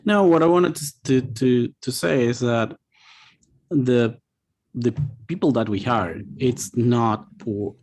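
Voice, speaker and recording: A man's voice: medium pitch, slow pace, darkish tone. A man from Argentina, a native Spanish speaker, answering interview questions over a video call in English and searching for words. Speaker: company representative guest.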